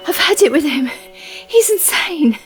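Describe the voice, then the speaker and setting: Audio drama: anxious young female companion, acted by a woman, high, very animated, music behind. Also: heavily acted. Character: frightened, exasperated young female travelling companion.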